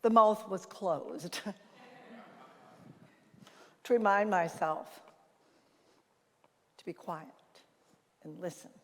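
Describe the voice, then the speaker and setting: An older woman with a naturally loud voice gives an informal conference talk, telling anecdotes and acting out bits of conversation. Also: fairly low pitch, extremely slow, long pauses. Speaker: conference speaker and leadership consultant. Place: large reverberant conference hall. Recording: reverberant hall sound.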